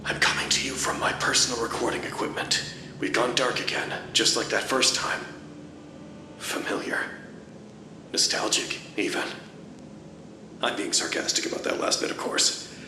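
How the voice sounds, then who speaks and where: An actor playing a measured scientist recording a log in an audio drama, speaking in a deliberate, dry way. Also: male voice, medium pitch, background noise, close to an everyday voice. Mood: hushed, wry. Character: measured male scientist recording logs about an anomaly. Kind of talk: scripted audio drama narration.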